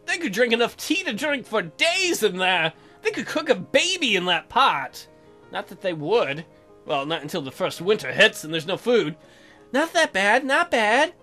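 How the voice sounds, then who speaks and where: A man's voice: high-pitched, big pitch swings, normal speed, bright, forceful tone, soft background music. A man doing crude, sarcastic joking commentary while reading a visual novel aloud as a let's player.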